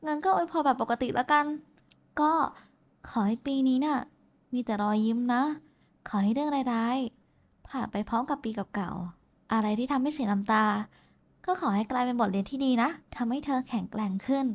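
A woman's voice: on the high side, normal speed, close to an everyday voice. A woman playing a shy, affectionate young woman in an intimate roleplay, talking casually and hesitantly to someone special. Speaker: VTuber voice actress. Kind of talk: intimate roleplay voice pack addressed to the listener.

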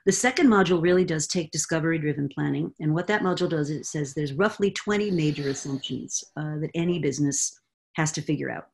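A woman in her sixties explaining with confident, animated energy as guest expert on a video call from a home study. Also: deep voice, fast, creaky, slight background noise. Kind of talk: video call roundtable answer. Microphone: webcam on a video call.

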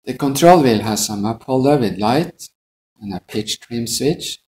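A man's voice: medium pitch, expressive, unhurried, a little mellow, a little hiss or hum, low-quality recording. A middle-aged man, an airline pilot, giving a methodical, scripted instructional narration.

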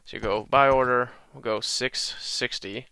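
A young man with a slight cold-raspy voice, casually walking through a video game tutorial with lots of ums. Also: medium pitch, measured pace, dry recording. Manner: relaxed, many ums and uhs, talks through menus. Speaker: gaming channel host.

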